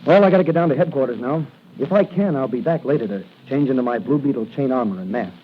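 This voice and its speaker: An actor playing a confident young patrolman in a 1940s radio drama, brisk, assured and earnest. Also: mid-range voice, lightly acted. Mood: earnest, resolute. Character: confident young male rookie patrolman, secret crime-fighter. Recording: noisy, muffled 1940 radio recording.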